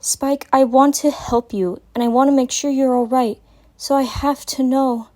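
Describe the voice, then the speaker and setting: A woman voicing a gentle, nurturing female unicorn in a dramatic story reading, speaking tenderly with pleading reassurance. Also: sits high, fairly even intonation, normal speed, voice pushed up, lightly acted. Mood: tender concern. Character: gentle, nurturing female unicorn consoling her young assistant. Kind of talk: dramatic reading of fan fiction.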